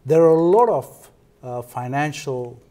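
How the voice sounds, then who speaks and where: A man with a Sri Lankan accent answers as an interview guest. His tone is soft-edged and mellow at a middle pitch, and he pauses mid-sentence as he explains. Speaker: interview guest, foundation co-founder. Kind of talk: televised interview, explanatory talk.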